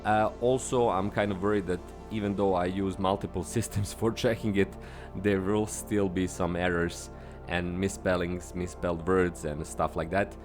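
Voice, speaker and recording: A man with a Serbian accent, a native Serbian speaker, hosting his own podcast in an upbeat, earnest monologue that jumps up in pitch on stressed words. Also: steady tone, moderate pace, over music. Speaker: podcast host.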